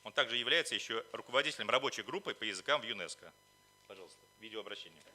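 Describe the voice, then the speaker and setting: A man's voice: on the low side, racing, bright, forceful tone. A man delivering a formal, official prepared speech as a conference speaker. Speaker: conference speaker, representative of the organizers. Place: conference hall at a library.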